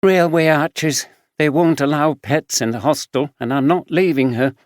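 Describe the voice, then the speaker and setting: A man voicing a caring young homeless woman in her twenties, lifting his voice higher and lighter for her in a storybook reading. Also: normal speed, no room sound, clean studio sound, natural voice. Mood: concerned.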